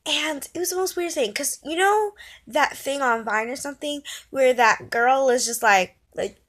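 Young female vlogger, kid to early teens, higher-pitched voice, dramatic and chatty storytime delivery, recorded on a handheld phone indoors. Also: moderate pace. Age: child or young teen. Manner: animated, dramatic storytelling with mimicked reactions. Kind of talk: casual storytime vlog chat. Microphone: phone at arm's length.

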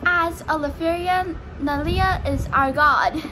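A teenage girl from Hong Kong, presenting a school project in a chatty, energetic way with lots of filler words. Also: high-pitched, slow pace, faint music behind, low-quality recording. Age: teens. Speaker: student presenter. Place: indoor room and school corridor. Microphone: phone camera at arm's length.

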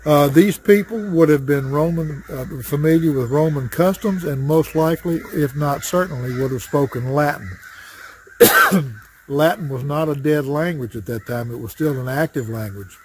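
An older man teaching a Bible study lesson outdoors in a wooded yard, talking in a plain, folksy way with frequent pauses, recorded on a camera at chest height. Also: fairly high pitch, noisy background. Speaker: associate pastor teaching Sunday school. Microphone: webcam or phone framed at chest height. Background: crows cawing.

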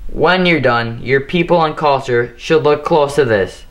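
A teenage boy hosting a mock cooking show in a home kitchen, reciting his lines in an earnest, deadpan way. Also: mid-range voice, a little slow. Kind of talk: scripted mock cooking demonstration. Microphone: camera mic, a few steps away.

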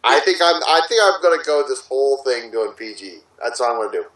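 A man's voice: mid-range voice, fairly quick, a bit bright, poor audio. A man, an amateur director and voice actor, chatting in a jokey way on an online voice call. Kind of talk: casual chat.

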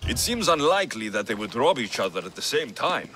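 An actor playing a stern, terse Greek mercenary warrior in a video game. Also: male voice, mid-range voice, big pitch swings, a little fast, pushed and bright, slight background noise. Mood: measured. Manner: terse, authoritative. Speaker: player character.

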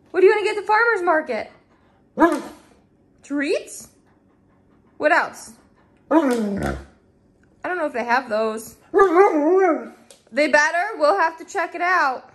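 A woman's voice: high-pitched, fairly bright tone. A woman filming and coaxing her dog in casual baby-talk, at home and out on a street. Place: home then outdoor street market. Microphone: handheld phone camera.

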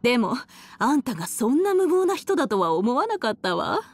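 A woman playing a haughty, cruel, elegant villainess in a Japanese anime game, with a condescending, mocking, drawn-out aristocratic delivery. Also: fairly high pitch, big pitch swings, no echo. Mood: contemptuous, threatening. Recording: studio voice over game music.

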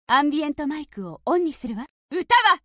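A woman's voice: high-pitched, very animated, fairly quick, a bit bright, no echo, studio quality. A woman voicing a confident, mysterious young diva, commanding and teasing, in anime-style device prompts. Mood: cool, confident. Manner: commanding, teasing, self-assured.

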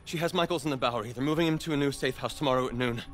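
A man's voice: medium pitch, very fast, close to an everyday voice. Man acting frightened, wary informant. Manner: wary, agitated. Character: frightened, defiant male fugitive informant. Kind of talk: dramatic video game acting.

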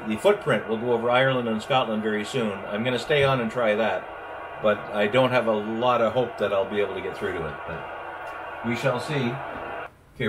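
A man, giving casual explanatory commentary and radio call-outs in a home office. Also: lowish voice. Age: 60s. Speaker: amateur radio operator, vlogger. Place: small home office, indoors. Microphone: camera a short distance away. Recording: noisy room, mic some distance away.

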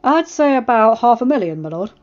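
A woman's voice: a little high, big pitch swings, a little fast, darkish tone. Woman reading as a respectful guard captain in a storybook, plain talker, muffled audio. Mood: matter-of-fact. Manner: plain, matter-of-fact. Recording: muffled lo-fi recording, music behind.

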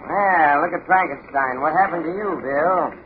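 An actor playing a skeptical, wry newspaper editor in a 1950s radio drama. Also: male voice, on the high side, moderate pace, lightly acted. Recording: tinny, hissy 1950s radio recording.

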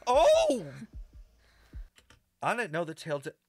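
A young man streaming his reactions, close on the mic with a dry sound. His voice is mid-pitched and his delivery is campy and theatrical, full of deadpan exaggeration. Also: normal speed, smooth, dry recording. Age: young adult. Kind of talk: casual reaction commentary. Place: small indoor streaming room.

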